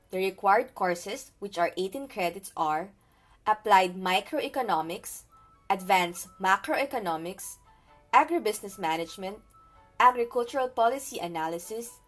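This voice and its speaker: Filipina student narrator, careful and deliberate read, breathy tone, music bed. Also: female voice, medium pitch. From the Philippines. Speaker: international master's student.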